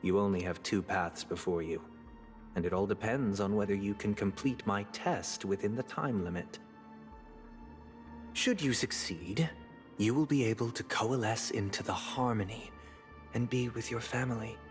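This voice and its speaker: Suave sanctimonious villain played by a male actor for a game, low pitch, coldly formal delivery over music. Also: lightly acted. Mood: solemn menace. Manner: formal, measured, silkily menacing. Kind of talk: dramatic video game voice acting. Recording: music behind the voice.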